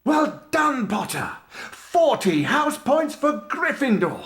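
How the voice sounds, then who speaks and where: An actor playing a vain, showy male wizard teacher in a video game, speaking in a theatrical, booming, self-pleased way. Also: sing-song, slow pace, a bit bright, roomy sound, exaggerated voice.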